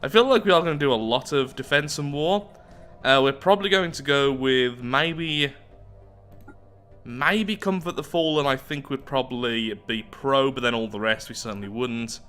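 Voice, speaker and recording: A man's voice: mid-range voice, moderate pace, fairly bright tone, smooth, soft background music. A man giving chatty roleplay commentary on a strategy game, thinking aloud as he goes. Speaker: gaming YouTuber host.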